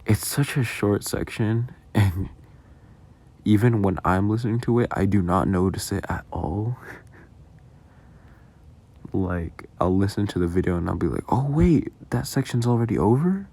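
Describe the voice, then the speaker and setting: A man with a low, gravelly voice talks through his own creative work as casual commentary. He rambles along slowly and is self-deprecating, swearing offhandedly as he goes. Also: dark tone. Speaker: audio roleplay creator. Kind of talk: casual behind-the-scenes commentary.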